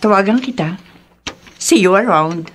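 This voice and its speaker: A woman's voice: medium pitch, expressive, measured pace, slight background noise, lightly acted. An actress playing a poised, well-to-do middle-aged businesswoman and mother in a radio drama, with a Filipino accent. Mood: eager, polished.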